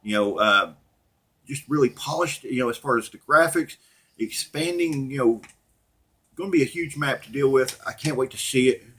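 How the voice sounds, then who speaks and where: A middle-aged man with a husky voice, talking casually and off the cuff as a blunt, profane, opinionated gaming channel host. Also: mid-range voice, normal speed, fairly bright tone. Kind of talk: casual unscripted rant and commentary to viewers.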